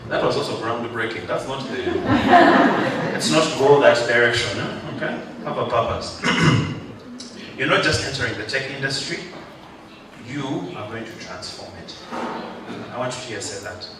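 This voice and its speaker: A man's voice: medium pitch, very animated, unhurried. A man delivering a motivational graduation speech to an audience, rousing and joking, drawing responses from the crowd. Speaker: guest speaker. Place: large hall at a ceremony. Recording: echoey hall, mic far off, background noise.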